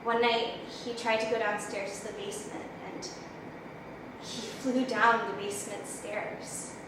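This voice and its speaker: A woman performing a dramatic stage monologue as a grown woman haunted by memories, telling her story in a measured, reflective way. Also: on the high side, level tone, natural voice. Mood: somber, reflective. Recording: roomy echo, distant mic, faint noise.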